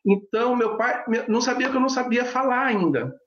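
Male pastor, sign-language native, halting and filler-heavy, echoey dull recording. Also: extremely high pitch, animated, a bit bright. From Brazil. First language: Brazilian Sign Language. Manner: hesitant, many fillers and restarts. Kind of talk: personal storytelling in an interview.

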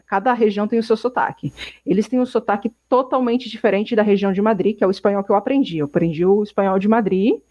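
A woman's voice: mid-range voice, darkish tone, dry recording. A young woman from Brazil answering interview questions about her exchange studies, rambling with many restarts and fillers. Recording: dull muffled sound.

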